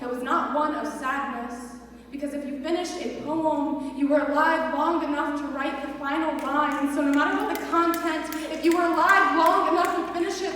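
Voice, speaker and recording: A young woman performs slam poetry in a high, slow voice. Her delivery is emotional and deliberate, with dramatic pauses, heard from a distance in a reverberant hall. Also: fairly bright tone. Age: young adult. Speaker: slam poet. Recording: echoey hall from a distance, faint noise.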